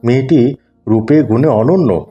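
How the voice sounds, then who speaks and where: A man's voice: mid-range voice, unhurried, warm tone, dull audio, faint music behind, natural voice. A man playing an earnest, persuasive middle-aged family friend in a cartoon, speaking courteously. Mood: earnest, reassuring. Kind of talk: cartoon dialogue.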